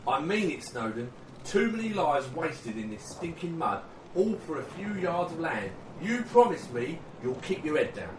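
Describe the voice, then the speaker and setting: A man's voice: a little high, very animated, moderate pace. A British man playing an earnest, hopeful young soldier of the First World War in a radio play. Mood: grave, urgent. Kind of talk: dramatic dialogue in an audio play. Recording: roomy, distant, clearly noisy recording.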